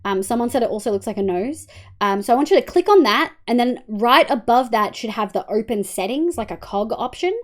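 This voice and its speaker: A woman's voice: a little high, expressive, brisk. A young Australian woman streaming live, chatting casually with her viewers and walking them through settings, her voice congested and nasal. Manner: chatty, talks to chat, hums to herself. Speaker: livestreamer. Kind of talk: casual livestream chat and walkthrough.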